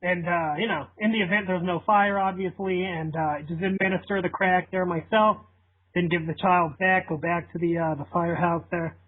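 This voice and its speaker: A man playing a casual, shameless parent on a deadpan prank phone call, hesitant and rambling as he persuades. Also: high voice, normal speed, natural voice. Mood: persuasive, casual. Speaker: prank caller. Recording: thin phone-line radio-like sound.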